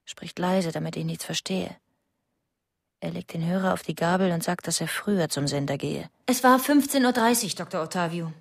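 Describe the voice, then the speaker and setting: An actress playing a tense, suspicious wife, narrating a radio drama in clipped, insistent fragments. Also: female voice, medium pitch, gravelly, no room sound, studio quality. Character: tense, suspicious wife convinced her husband kills.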